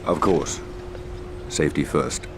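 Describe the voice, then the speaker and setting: A man's voice: rumbling low, slow pace, background noise, heavily acted. A man playing a cool, self-assured secret agent in a game cutscene, dry and understated. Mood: dryly polite. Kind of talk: scripted game cutscene acting.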